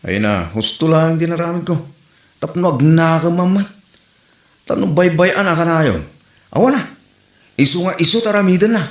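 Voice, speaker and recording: Man acting an animated Ilocano comedy husband. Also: on the high side, moderate pace, long pauses, lightly acted. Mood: dismissive, teasing. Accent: Ilocano Filipino. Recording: roomy echo, music behind.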